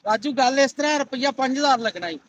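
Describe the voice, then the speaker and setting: A man's voice: very high-pitched, lively intonation, fast, far from the mic. A man playing a meddling, bribe-taking uncle in an amateur comic skit, glib and insistent. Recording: noisy amateur location recording.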